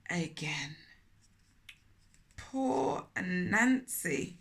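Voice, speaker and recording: A woman reading a children's story aloud in an expressive manner, often turning to question young listeners directly. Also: fairly high pitch. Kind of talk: animated children's read-aloud with asides to listeners.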